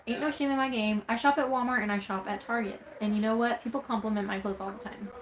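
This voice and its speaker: Southern woman vlogger, medium-pitched, upbeat and chatty, talking frankly to viewers. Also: low-quality recording. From Southern United States. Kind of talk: casual chat reading viewer comments.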